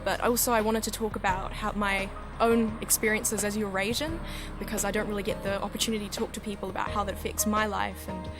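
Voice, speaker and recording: A teenage woman with a New Zealand accent answering interview questions casually, thinking aloud in a relaxed way. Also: mid-range voice, fast talker. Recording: music playing under voice.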